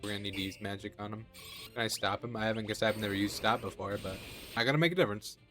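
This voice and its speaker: A man's voice: on the low side, quick pace, over music. A man with a cold, sounding nasal, casually commenting as he plays a video game. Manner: relaxed, chatty, thinking aloud. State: has a cold. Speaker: gaming channel host.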